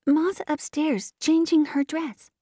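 Woman doing a little girl, high pitch, artless blurting chatter, guileless tattletale, storybook character. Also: steady tone, slow pace, warm tone, no room sound, clean studio sound. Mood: guileless, chatty.

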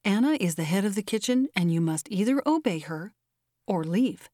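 A woman's voice: mid-range voice, expressive, normal speed, mellow, no echo, clean studio sound, close to an everyday voice. A woman voicing a large, faint-hearted mistress of a house in a story, hesitant and trying to be firm. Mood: trying sternness. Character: Miss Matilda, large, faint-hearted, reluctantly stern mistress.

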